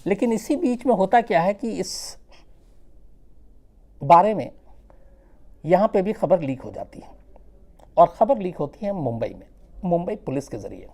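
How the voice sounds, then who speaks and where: Gravelly male true-crime storyteller, mellow tone. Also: sits high, very animated, measured pace, long pauses.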